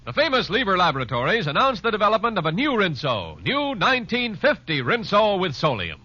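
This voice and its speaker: A man's voice: high-pitched, huge pitch swings, brisk, over music. A man announcing a 1950s radio commercial in an emphatic, salesmanlike style. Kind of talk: 1950s radio advertising pitch. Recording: muffled old radio broadcast.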